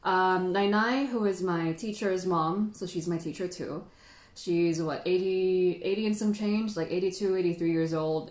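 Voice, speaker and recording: Sentimental woman livestreamer in an echoey room. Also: lowish voice. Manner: sentimental, chatty, giggly. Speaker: livestream host and tea teacher. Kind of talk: casual livestream chat.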